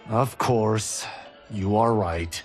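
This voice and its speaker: A man's voice: fairly low pitch, drawn-out words, faint music behind. An actor playing an earnest male monk warrior.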